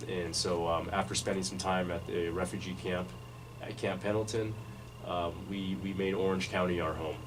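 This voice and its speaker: A low-pitched man speaks in an even, unhurried way, circling back and restarting his sentences as he recounts his past. He sits in a radio studio, but his voice arrives from some distance off the mic, with a steady hiss of noise behind it. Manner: measured, many restarts and fillers. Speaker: interview guest. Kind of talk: relaxed life-story interview. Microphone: studio microphone, recorded from afar.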